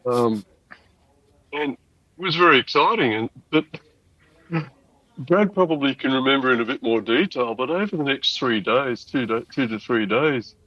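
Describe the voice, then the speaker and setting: A man's voice: medium pitch, normal speed, pauses often, no room sound, highs cut off. An older man telling stories as an interview guest, reminiscing in a casual conversational way. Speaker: interview guest, loudspeaker designer.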